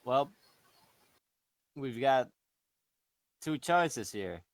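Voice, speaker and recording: A man's voice: medium pitch, lively intonation, drawn-out words, vocal fry, no room sound. A man playing a tabletop role-playing game and talking casually with the other players.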